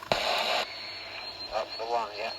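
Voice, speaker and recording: A British man chatting casually and bluntly over CB radio, using matey slang. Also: drawn-out words. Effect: CB radio transmission. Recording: very noisy CB radio reception.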